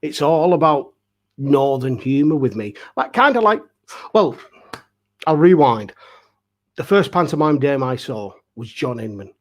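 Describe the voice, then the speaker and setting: Chatty man, mid-pitched. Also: big pitch swings, unhurried, pauses often. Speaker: pantomime dame performer, interview guest. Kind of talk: casual anecdotal chat.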